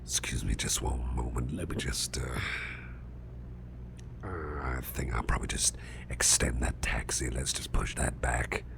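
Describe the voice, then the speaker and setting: A man playing a gruff, flustered boss in an ASMR roleplay, muttering haltingly in a growly, breathy half-whisper and repeating himself. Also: very deep voice, heavily acted. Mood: flustered, thinking. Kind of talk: ASMR roleplay, spoken softly to the listener.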